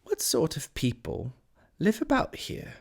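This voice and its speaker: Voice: mid-range voice, huge pitch swings, unhurried, vocal fry, husky, in a whisper, pitched above the performer's own voice, heavily acted. A storyteller voicing a timid, polite, puzzled little girl from a storybook, hesitant and questioning. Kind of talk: storybook character voice.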